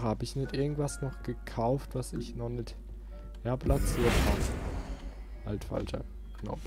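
A man giving casual gameplay commentary as a let's play host, rambling and thinking aloud. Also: medium pitch. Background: game music.

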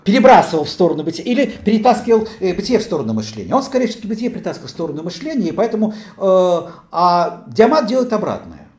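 A middle-aged man speaks at a seminar in a slightly high, very animated voice. He halts and restarts as he thinks aloud. The small room echoes around him. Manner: halting, repeats and restarts phrases. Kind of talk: philosophical seminar discussion. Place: small meeting room, indoors.

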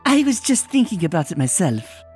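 A woman's voice: fairly low pitch, sing-song, a little fast, darkish tone. A woman voicing a frank, tender-hearted female zebra in a cartoon comic dub, casual in manner. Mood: coaxing. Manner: casual, coaxing. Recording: music behind the voice.

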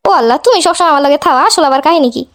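A high-voiced young wife played by a woman in a homemade cartoon, feeling neglected and answering with defiant sarcasm. She scolds at extreme speed in a Bangladeshi Bengali accent. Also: animated, rapid-fire. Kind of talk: amateur cartoon dialogue.

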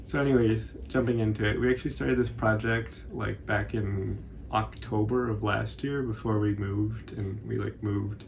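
A man's voice: lowish voice, steady tone, moderate pace, gravelly, hardly any pauses, slight background noise. A man in his twenties with an American accent, chatting casually through a craft tutorial as host. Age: 20s. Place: small indoor room at home, on a couch.

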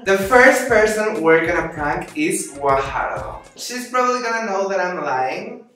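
A man's voice: high voice, lively intonation, slow, sharp tone. A man with a Mexican accent and Spanish as first language, chatting casually as a campy, giggly, slangy drag host making prank calls. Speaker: drag performer hosting prank-call video. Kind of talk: casual prank-call chat. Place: living room, seated on a sofa. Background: background music.

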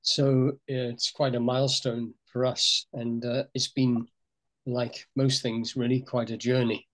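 An older man speaking on a video call from a room at home, reflective and unhurried, with frequent pauses and fillers. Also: mid-range voice, level tone, fairly bright tone. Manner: thoughtful, hesitant, many fillers. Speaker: program co-leader. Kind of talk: reflective webinar talk.

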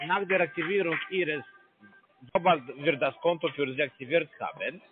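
A man with a foreign accent making a sales pitch on a phone call in broken, halting German. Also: music in the background. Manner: broken halting German, many restarts and fillers. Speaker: scam call center agent. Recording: tinny phone line.

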